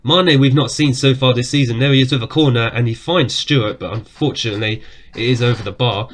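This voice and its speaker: A man with a cough talks his way through a football management game from his desk. His voice sits at a middle pitch, and his commentary is casual and chatty, with quick reactions to saves and shots. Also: a little hiss or hum. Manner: chatty, reacts aloud to match events. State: has a cough. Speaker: gaming YouTuber.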